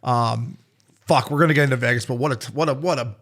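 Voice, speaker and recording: Young male co-host, quick-fire storyteller, salty language, mid-range voice. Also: medium pitch. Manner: rapid, animated storytelling with frequent swearing. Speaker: podcast co-host. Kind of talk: casual podcast chat.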